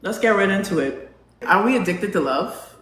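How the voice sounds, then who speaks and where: A non-binary host in their forties chatting casually with a live audience, asking them questions and filling pauses with "um". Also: medium pitch, expressive, fairly bright tone. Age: 40s. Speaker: live talk show host. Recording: roomy echo, faint background hum.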